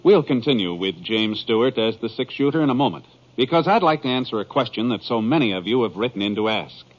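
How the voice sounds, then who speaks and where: A man's voice: medium pitch, lively intonation, quick pace, slight background noise. A man with an American accent announcing in a scripted, polished and genial 1950s radio style. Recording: dull 1950s radio recording.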